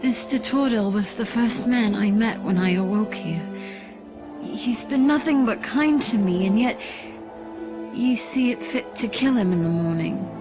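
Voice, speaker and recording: A woman's voice: mid-range voice, animated, a little slow, over music, lightly acted. A woman playing an earnest, unsure young amnesiac in an audio drama. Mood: pleading.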